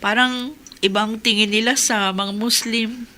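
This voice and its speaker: A woman's voice: a little high, fairly even intonation, unhurried, pushed and bright, a little hiss or hum. An actress in a radio drama playing an anxious young married woman who pleads with her husband. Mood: uneasy. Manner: pleading, uneasy.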